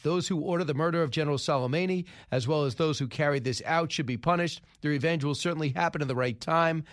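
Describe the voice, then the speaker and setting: A man hosting a radio talk show, rattling through the news with wry asides and quick opinions. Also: a little high, dry recording, clean studio sound. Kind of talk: casual news-commentary monologue. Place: radio studio.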